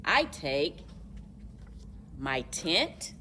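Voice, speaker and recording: A woman reading a children's story aloud in a deliberate, expressive way for young listeners, as a story time host. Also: deep voice, far from the mic, slight background noise.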